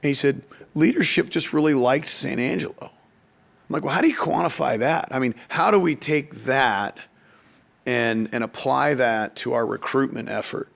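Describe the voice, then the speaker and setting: A man with a noticeably creaky, gravelly voice at an ordinary male pitch. He speaks in a conversational podcast style, circling back over words as he explains things through anecdotes. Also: fairly bright tone. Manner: halting, repeats words while explaining. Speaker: economic development official, podcast speaker.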